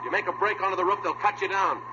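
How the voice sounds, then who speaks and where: An actor playing a terse, businesslike American police detective sergeant in an old radio drama, clipped and deadpan. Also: male voice, sits high, rapid-fire, close to an everyday voice. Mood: urgent. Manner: clipped, deadpan narration and short orders. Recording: noisy muffled old radio recording.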